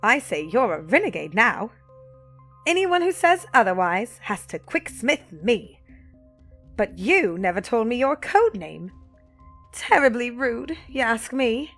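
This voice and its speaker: A woman playing a bold, cocky young elf renegade in an audio drama, brisk and playfully dramatic. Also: a little high, very animated, fairly bright tone, faint music behind, heavily acted. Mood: bold, teasing.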